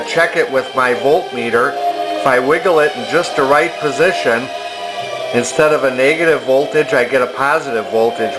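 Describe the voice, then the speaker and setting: An older man giving a casual repair demonstration as a hobbyist, halting as he thinks aloud. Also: medium pitch, low-quality recording. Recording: background music from vintage radio and turntable.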